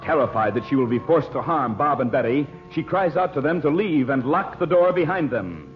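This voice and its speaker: A male American announcer in vintage 1940s radio style, with a very lively, swinging delivery. Music sits behind his voice, and the old broadcast sound is dull and muffled. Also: a little high, a little fast. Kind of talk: 1940s radio announcing, scripted narration and sponsor pitch.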